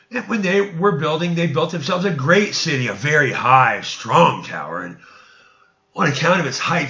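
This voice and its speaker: A man reading an ancient religious text aloud as a narrator, in a deliberate storytelling manner, stumbling over words now and then. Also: mid-range voice, pushed and bright, highs cut off.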